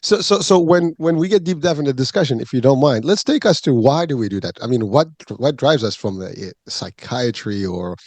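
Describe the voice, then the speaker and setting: A man's voice: medium pitch, lively intonation, a little fast, few pauses, a little mellow, no echo. A man hosting a wellness interview show, speaking in rambling, hesitant runs with many fillers. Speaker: podcast host.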